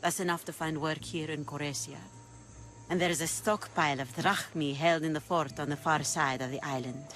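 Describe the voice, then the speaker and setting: A woman's voice: low-pitched, fairly even intonation, normal speed, a bit bright. An actress playing a shrewd, confident woman pirate leader who speaks in a commanding, dry, bargaining way in a game cutscene. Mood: cool. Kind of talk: video game cutscene acting.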